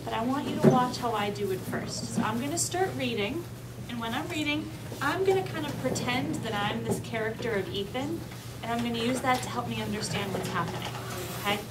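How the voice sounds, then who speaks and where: A woman's voice: a little high, brisk. A woman teaching young children through an interactive read-aloud, coaxing them with simple instructions and questions. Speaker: teacher reading aloud to kindergarteners. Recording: distant mic, noisy room.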